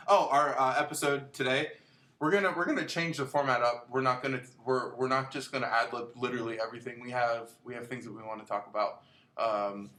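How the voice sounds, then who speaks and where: A man co-hosting a casual podcast with a gentle voice, rambling through his thoughts with lots of fillers and easy laughter. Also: mid-range voice. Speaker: podcast co-host. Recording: echoey room.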